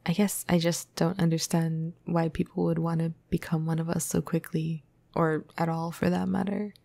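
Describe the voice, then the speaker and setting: A woman playing a flirty, caring vampire crush in an intimate ASMR roleplay, speaking softly and breathily into a close microphone with casual hesitations. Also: level tone, moderate pace, no room sound. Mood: musing. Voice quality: breathy, soft, husky, low. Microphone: close microphone, dry.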